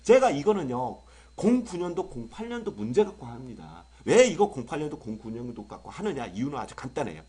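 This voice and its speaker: A young adult male English tutor with a sore throat from a cold, lecturing in an excitable, exaggerated way full of rhetorical questions. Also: medium pitch, fairly quick, hardly any pauses, breathy edge. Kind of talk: online exam-prep lecture.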